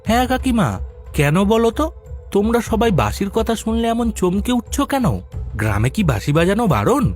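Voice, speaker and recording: A male actor plays an inquisitive young city man in a cartoon story. His voice is high and very lively in pitch. He presses his questions stubbornly, with music behind him. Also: a little fast. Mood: insistent, suspicious. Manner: questioning, persistent. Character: curious, stubborn young city man visiting village relatives. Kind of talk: animated audio story dialogue.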